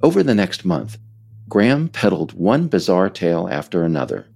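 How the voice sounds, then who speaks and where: An American man reading scripted narration as the author of a book, in a measured, emphatic storytelling manner. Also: sits low, big pitch swings, creaky, darkish tone, soft background music.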